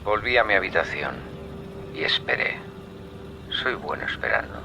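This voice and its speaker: An actor with an accent from Spain playing a calm serial killer who recounts his crimes in a measured, sardonic way, in a radio drama. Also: sits low, creaky, noisy background, exaggerated voice. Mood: cold, brooding. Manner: measured, coldly matter-of-fact, darkly sardonic. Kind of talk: Spanish radio drama acting.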